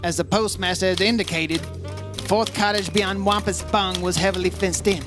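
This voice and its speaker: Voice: medium pitch, fairly even intonation, normal speed, over music, close to an everyday voice. An actor playing an unflappable insurance investigator, narrating a case in old radio detective style, wry and matter-of-fact. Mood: dry narration. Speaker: narrator and lead character.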